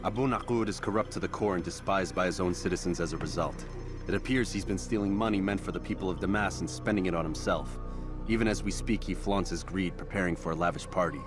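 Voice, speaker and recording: A man playing a cool, confident assassin in a video game, reporting in a measured, matter-of-fact way. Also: sits low, music in the background, lightly acted. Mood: confident, disdainful. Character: cool, self-assured male assassin reporting on his prey. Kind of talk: serious video game dialogue.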